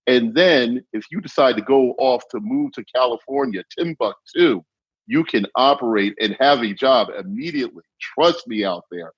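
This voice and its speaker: A man speaking as a guest on a podcast panel, enthusiastic and loud, often restarting his sentences. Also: medium pitch, animated, moderate pace, husky, no echo, dull audio. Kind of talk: panel discussion.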